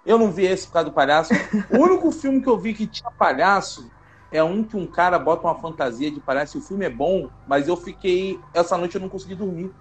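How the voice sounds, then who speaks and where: A man chatting as a podcast co-host, animated and emphatic, often repeating himself. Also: high-pitched, quick pace. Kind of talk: casual chat.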